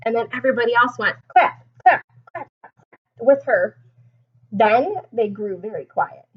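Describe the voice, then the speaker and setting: Animated, high-voiced woman reading a storybook. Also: normal speed, slightly rough, highs cut off. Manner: expressive, explains and asks child-directed questions. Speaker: teacher reading aloud to young children. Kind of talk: animated storybook read-aloud with questions to listeners.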